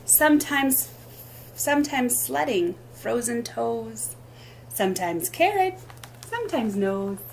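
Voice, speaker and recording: A woman with a slightly high voice hosts story time for young children. She takes her time, delivering picture-book words in expressive, deliberate phrases. There is faint noise in the recording. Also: gravelly, low-quality recording.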